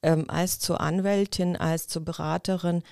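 A woman's voice: fairly low pitch, steady tone, a little slow, gravelly, a little mellow, clean tone, no echo, clean studio sound. A middle-aged woman born in Ukraine, a lawyer talking as a podcast guest in thoughtful casual chat with many fillers and restarts.

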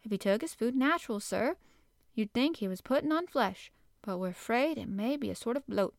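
A fussy, flustered housekeeper, put on by a woman reader, rattles on in a breathless, eager-to-please rush. Her voice sits higher and louder than plain narration, and the sound is dry and close. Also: lively intonation, fairly quick, creaky, dry recording. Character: flustered middle-aged female housekeeper, anxious to please.

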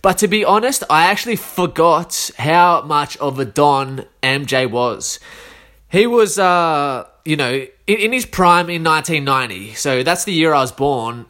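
Australian guy, 30s, under the weather, loose slangy solo chat, voice sits a bit high. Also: expressive, measured pace, a bit bright. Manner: rambling, slangy, many fillers and restarts. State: a bit sick, coughing.

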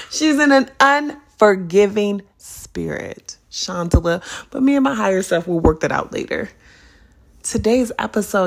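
A young adult woman hosting a casual solo podcast, her voice a little raspy as it recovers from illness, talking in an animated, playful and profane way. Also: mid-range voice. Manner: animated, profane, playful, spiritual self-help talk. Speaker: podcast host.

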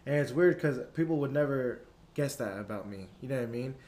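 A man's voice: mid-range voice, lively intonation, brisk, darkish tone. Young guy hosting a podcast, chill and slangy, lots of likes and you knows, regular middle voice. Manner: laid-back slangy talk, lots of "like" and "you know". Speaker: podcast host. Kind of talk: casual podcast chat.

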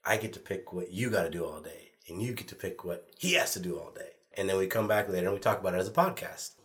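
A man with a low, nasal voice, stuffed up from a cold. He co-hosts a casual podcast among friends, loose and teasing, drifting off on tangents before pulling the chat back on track. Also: fast talker, a bit bright. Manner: teasing, rambling. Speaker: podcast co-host.

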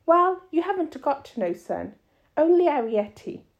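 A woman voicing an anxious, sharp-tongued, determined mother in a storybook, with emphatic, homely ungrammatical speech. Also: sits high, sing-song, measured pace, dull audio, voice pushed up, heavily acted. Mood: firm, persuading. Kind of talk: storybook read-aloud character voice.

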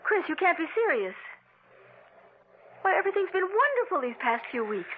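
A woman's voice: very high-pitched, sing-song, normal speed. An actress playing an earnest, frightened woman menaced by a murderous man in a dramatic old-time radio thriller. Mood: anxious, hurt. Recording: tinny, noisy vintage radio recording.

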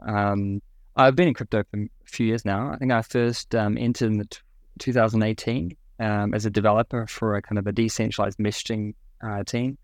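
A man's voice: lowish voice, fairly even intonation, fairly quick, no room sound. A young man with a New Zealand accent, a podcast guest explaining a product with many ums and restarts. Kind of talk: podcast interview.

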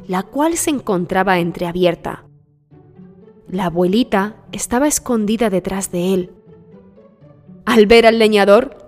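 A woman reads a children's story as its narrator, at a middle pitch. Her voice sits in long reverb, with music playing behind the scripted narration. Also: darkish tone.

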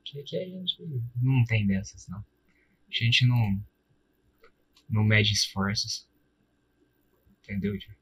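A man's voice: on the low side, very animated, takes it slowly, pauses often, a bit bright, husky, highs cut off. A man with a Brazilian accent hosting a podcast, chatting casually in informal, slangy speech. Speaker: podcast host.

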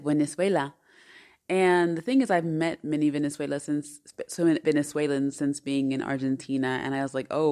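A woman's voice: low-pitched, a little mellow. A woman in her thirties, a podcast host, telling a personal story in an earnest, chatty way. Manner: chatty and earnest, with many fillers and restarts.

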